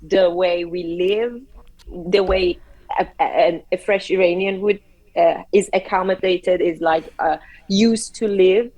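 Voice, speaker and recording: A woman co-hosting a casual chat show, speaking earnestly with halting restarts and steering the conversation. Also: lowish voice, steady tone, darkish tone, rough recording. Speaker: co-host.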